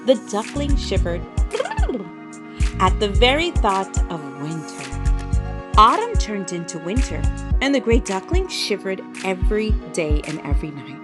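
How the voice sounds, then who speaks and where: A woman reading a children's story aloud as an expressive, dramatic narrator with emphatic delivery. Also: mid-range voice, a little slow, over music.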